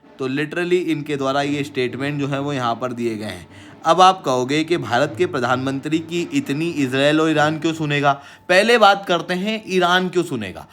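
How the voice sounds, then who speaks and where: A man's voice: a little high, moderate pace, a bit bright. An Indian man presenting as an online teacher, explaining current affairs emphatically and mixing in English phrases.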